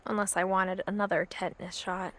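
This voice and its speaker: A young woman with an American accent playing a pensive narrator in a video game, quietly recounting family secrets in a measured, reflective way. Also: mid-range voice, little pitch movement, slight background noise, close to an everyday voice.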